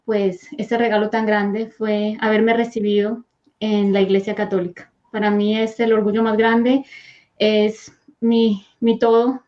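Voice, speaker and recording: A woman speaks softly and earnestly, her delivery fairly flat and even. She tells a heartfelt personal story, filling gaps with gentle hesitations. The recording sounds dull and lo-fi. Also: a little high. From Colombia. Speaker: guest, host's wife. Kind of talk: personal faith testimony.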